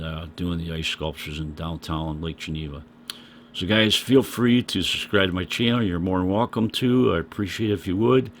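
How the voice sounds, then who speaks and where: An older man with a scratchy voice, talking casually to his vlog audience with many pauses and "uh"s. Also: low voice. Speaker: vlogger. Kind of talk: casual vlog talk to camera.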